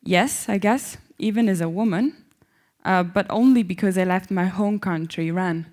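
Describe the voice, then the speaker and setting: A woman's voice: mid-range voice, normal speed, darkish tone, close to an everyday voice. A young woman reading the part of an independent-minded, hopeful Iranian woman in a staged play reading. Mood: matter-of-fact. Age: young adult.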